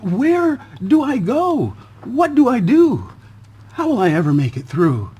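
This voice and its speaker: A man's voice: very high voice, wildly swooping pitch, a little slow, warm tone, slightly rough, voice pushed up, full character voice. A man voicing a lost, lonely little rhinoceros from a storybook, worried and plaintive. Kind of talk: storybook character voice.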